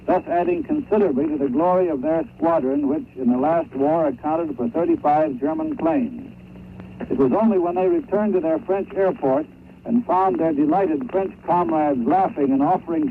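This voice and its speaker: Animated man on muffled 1930s radio. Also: on the high side, vocal fry, slight background noise. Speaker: radio war correspondent. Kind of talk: scripted 1930s radio storytelling report.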